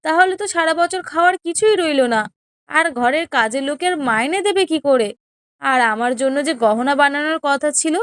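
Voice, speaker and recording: A woman's voice: high-pitched, fairly quick, a bit bright, no room sound, clean studio sound. A woman playing a selfish, haughty younger wife with an indignant, scolding manner in a melodramatic cartoon story. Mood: dismayed, demanding.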